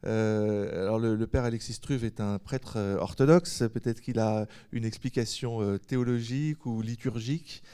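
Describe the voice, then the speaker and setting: A man's voice: lowish voice, steady tone, moderate pace, few pauses, mellow, clear, resonant tone. A man hosting a panel discussion, putting thoughtful questions and rephrasing them as he goes. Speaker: host and moderator of a panel discussion.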